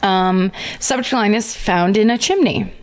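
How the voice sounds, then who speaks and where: A woman co-hosts a podcast in loose, casual banter. She cracks deadpan jokes and drops quick interjections while her co-host talks. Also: mid-range voice. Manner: playful, jokey, quick interjections.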